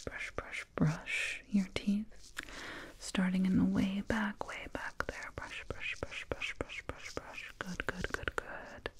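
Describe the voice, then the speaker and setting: Woman acting as female alien dentist, ASMR roleplay, breathy whisper, lowish pitch, very slow, soothing, condescending, repetitive, close binaural mic. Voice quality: breathy, mostly unvoiced whisper. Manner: slow, soothing, repeats words rhythmically. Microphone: close binaural microphone.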